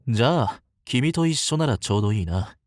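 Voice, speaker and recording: A man playing an easygoing, protective and resolute fantasy leader in a Japanese voice drama, with casual, gently teasing speech. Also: mid-range voice, animated, a little fast, dry recording, clean studio sound, lightly acted. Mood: light teasing.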